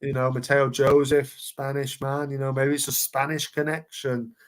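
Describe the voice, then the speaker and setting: A man's voice: mid-range voice, flat delivery, normal speed. A man co-hosting a casual football podcast, rambling along with repeated words and fillers. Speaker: podcast co-host.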